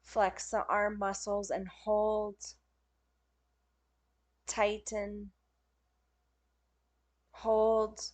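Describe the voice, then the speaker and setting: A woman leading a guided yoga nidra meditation as a teacher, speaking in gentle, soothing, unhurried phrases with pauses. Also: medium pitch, little pitch movement.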